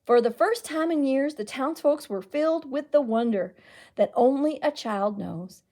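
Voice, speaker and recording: A woman reading a picture book aloud as a storyteller, with expressive, emphatic delivery. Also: high voice, measured pace. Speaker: children's librarian storyteller.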